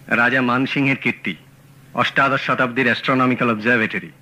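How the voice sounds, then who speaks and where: A cool, sharp-edged detective. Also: male voice, medium pitch, fairly even intonation, rough recording. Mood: composed. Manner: measured, self-assured. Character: calm sharp male detective, cool and clipped. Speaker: Feluda. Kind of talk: 1970s radio drama acting. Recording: old radio broadcast.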